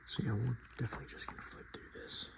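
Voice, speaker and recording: A man hosting softspoken, whispered ASMR in a murmured, unhurried, casual and self-deprecating way. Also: noisy background. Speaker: ASMR video host.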